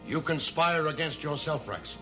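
An older actor playing a centuries-old, authoritative emissary of dark powers in a gothic television soap, measured and taunting. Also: male voice, fairly high pitch, expressive, lightly acted. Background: dramatic music.

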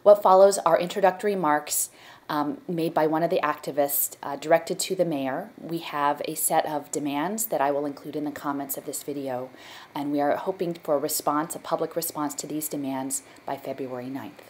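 A woman's voice: deep voice, steady tone, normal speed, a little mellow. A woman giving a plain, informative introduction to a protest video. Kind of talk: spoken introduction.

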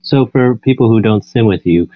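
A man's voice: fairly low pitch, moderate pace, dry recording. A man hosting a podcast, asking interview questions in a casual, conversational way. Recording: muffled, phone-like narrowband line.

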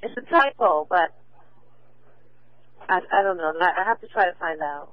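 A woman's voice: fairly low pitch, expressive, moderate pace. A woman working as a police dispatcher, relaying calls procedurally over a two-way radio. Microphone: radio dispatch microphone, heard over scanner. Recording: tinny, narrow-band police scanner radio.

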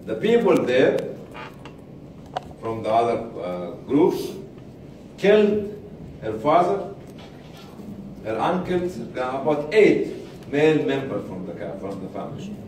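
A man in his sixties telling a story to a group in a deliberate way, repeating and pausing for emphasis. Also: mid-range voice, big pitch swings, darkish tone. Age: 60s. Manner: deliberate, with repetition and pauses for emphasis. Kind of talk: spoken storytelling to an audience. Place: medium-sized indoor seminar room. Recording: distant, very echoey room recording with faint noise.

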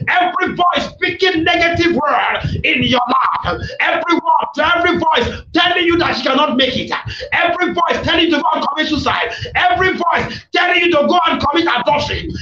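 A man preaching a fiery sermon, shouting forceful, repetitive prayer declarations as a preacher. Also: extremely high pitch, normal speed, recorded from a distance. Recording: echoey, dull, noisy room recording.

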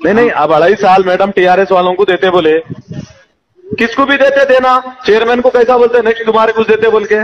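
A man's voice: high-pitched, a little fast, slight background noise. A man with a Hyderabadi accent arguing indignantly and insistently in a heated phone conversation, firing off rhetorical questions. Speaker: local party politician. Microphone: phone call. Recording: dull lo-fi recorded phone call.

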